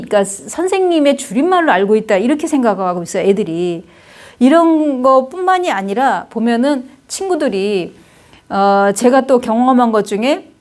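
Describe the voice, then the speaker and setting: A woman's voice: a little high, darkish tone. A middle-aged woman giving a casual spoken lecture on Chinese characters, chatty, with many fillers and tag questions. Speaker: Hanja lecturer.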